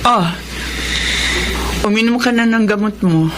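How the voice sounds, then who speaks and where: A woman's voice: on the high side, over music, natural voice. A Filipino actress playing an exasperated, nagging woman caring for her bedridden fiancé in a radio drama. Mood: exasperated, scolding. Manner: nagging, scolding, quick to fuss.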